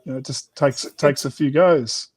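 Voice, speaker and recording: Australian male author as interview guest, middle-aged, mid-pitched voice, sincere and thoughtful, conversational. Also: steady tone, normal speed.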